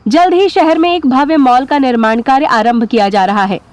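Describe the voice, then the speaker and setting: Actress as formal female news anchor, high voice, crisp delivery. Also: moderate pace, darkish tone, dry recording, lightly acted.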